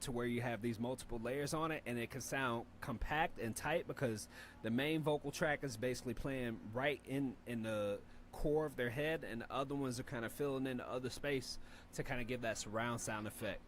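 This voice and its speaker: A laid-back man with a deep voice talks through mixing advice for a rap review stream, rambling and peppered with fillers and swearing. His pitch sits mid-range for a man. A faint hum of room noise runs under him. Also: fairly quick. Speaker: rap review show host and engineer. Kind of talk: casual spoken feedback and mixing tips.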